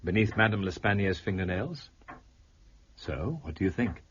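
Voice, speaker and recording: An actor playing a brilliant, eccentric amateur detective in an audio drama, arch and precise with wry theatrical delivery. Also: male voice, deep voice, expressive, normal speed, fairly bright tone. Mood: brisk, intent. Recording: muffled, noisy lo-fi audio.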